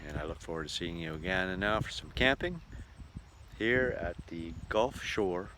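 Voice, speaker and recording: A man's voice: low-pitched, expressive, moderate pace. A man talking casually to camera as a travel vlogger, recorded outdoors. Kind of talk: casual vlog narration to camera. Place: outdoors on a camping tour. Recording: noisy outdoor ambience.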